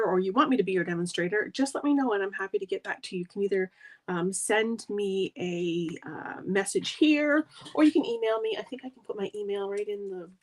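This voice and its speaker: A middle-aged woman, nasal from a cold, hosting a live card-making demo at her craft table in a friendly, rambling way. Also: mid-range voice. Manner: friendly, rambling, gushes over paper. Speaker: stamping demonstrator hosting a live craft video.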